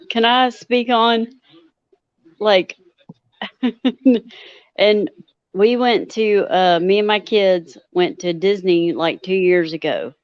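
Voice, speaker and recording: A woman chatting casually on a livestream podcast, joking and animated. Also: mid-range voice, drawn-out words, pauses often, clean tone, no echo, dull audio. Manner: joking, animated, laughs often.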